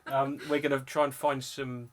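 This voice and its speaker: A young adult man presents a casual vlog. His voice sits at a middle pitch, and he talks in an informal, chatty way. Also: level tone, normal speed. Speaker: video channel host. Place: indoor music studio.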